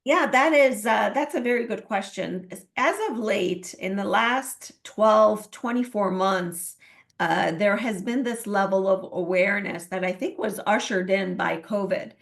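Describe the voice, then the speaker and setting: Gravelly-voiced woman coach from Iran. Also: medium pitch, measured pace. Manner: earnest, many fillers and restarts. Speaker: guest, transformational coach. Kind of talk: interview answers.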